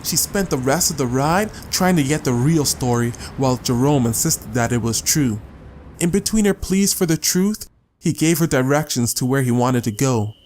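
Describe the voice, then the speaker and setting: A man's voice: mid-range voice, animated, moderate pace, a little mellow, slight background noise. A man reading a scripted short story as a dramatic audiobook narrator. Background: music.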